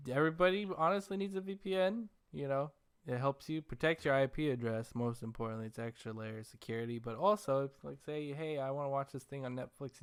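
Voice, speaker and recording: Man, podcast host, mid-range pitch, casual conversational delivery, informal ad reads. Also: clear, resonant tone.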